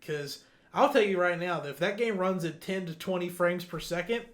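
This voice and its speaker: Blunt man talking games, slightly higher voice. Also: a little fast. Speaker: gaming podcast host. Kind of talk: casual gaming news discussion.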